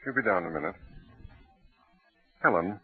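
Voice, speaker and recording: A man playing a gentle, indulgent husband and doting father in an old-time radio drama. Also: low voice, lively intonation, normal speed, slightly rough, no echo, heavily acted. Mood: patient, reassuring. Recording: tinny old radio recording.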